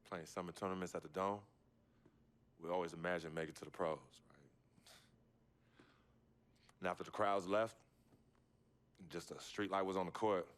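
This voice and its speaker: An actor playing an earnest, loyal young pro basketball player in a video game, talking in a reflective, casual storytelling way. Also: male voice, sits low, clean tone, lightly acted. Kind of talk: naturalistic video game cutscene acting.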